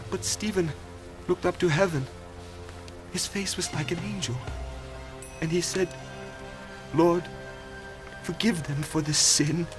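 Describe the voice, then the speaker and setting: A man acts a distraught, earnest bearer of bad news in a biblical audio drama. His voice is high for a man and gravely halting, with faint music underneath. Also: lightly acted.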